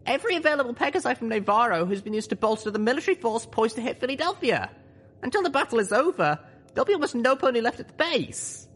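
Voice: mid-range voice, big pitch swings, fast, a bit bright, breathy edge, voice pushed up. A narrator voicing an earnest, determined young female pony leader, speaking solemnly and urgently in a story reading. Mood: resolute. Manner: solemn, urgent speech-making.

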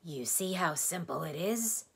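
A woman's voice: lowish voice, lively intonation, measured pace, creaky, a bit bright, husky, full character voice. A woman voicing a blustering, easily exasperated male doctor in a storybook, lecturing and quizzing emphatically. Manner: emphatic, questioning, declamatory.

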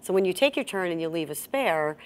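A woman's voice: lowish voice, animated, fairly quick, poor audio. A middle-aged woman hosting an instructional chat in a bowling center, explaining rules step by step and asking guiding questions. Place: bowling center, seated at a table.

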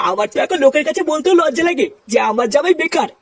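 Casting: indignant older father-in-law played by an actor, fast high voice, overbearing cartoon comedy. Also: expressive, distant mic. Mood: reproachful. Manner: reproachful, lecturing.

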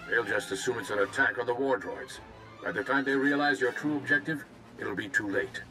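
An actor playing a grave, commanding Jedi commander in a video game, measured and serious. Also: male voice, medium pitch, close to an everyday voice. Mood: urgent. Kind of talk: video game cutscene acting. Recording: music behind the voice.

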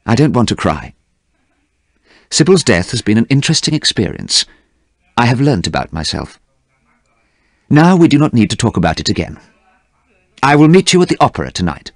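A male narrator plays a vain young gentleman for a storybook. The delivery is haughty and dismissive, with very wide pitch swings and a close, dry sound. He sounds callous and quick to anger. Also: very animated, no room sound. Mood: callous, detached. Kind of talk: audiobook character dialogue.

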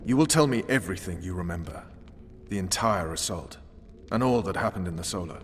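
A cold military commander in a fantasy game. His voice is on the low side, stern and clipped, carrying authority through a reverberant hall with background noise. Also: animated, a little fast. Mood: cold, controlled.

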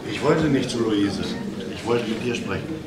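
A man's voice: fairly low pitch, lively intonation, normal speed, close to an everyday voice. A man playing an earnest, reluctant and reproachful brother-in-law in a crime drama. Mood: reluctant, insistent. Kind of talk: dramatic dialogue acting. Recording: echoey, distant, clear noise.

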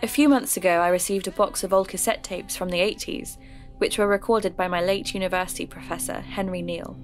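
Casting: a woman narrating in a measured, earnest way, with clear music under her voice. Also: fairly low pitch, fairly even intonation. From England. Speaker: podcast narrator. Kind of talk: scripted narration.

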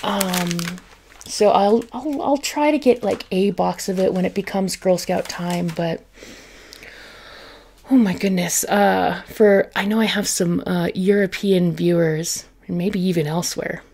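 A woman in her thirties from the United States, chatting softly in an ASMR grocery haul in her home kitchen. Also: lowish voice, a little slow, gravelly, husky. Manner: soft-spoken, chatty, laughs often. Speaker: ASMR creator.

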